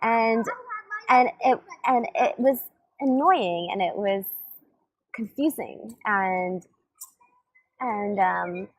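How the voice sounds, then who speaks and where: A woman's voice: mid-range voice, drawn-out words, long pauses, dry recording, dull audio. A young woman giving a casual talk as a coach and summit host, chatty, with many fillers and restarts. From grew up between Germany, Taiwan, Shanghai, Hong Kong and California.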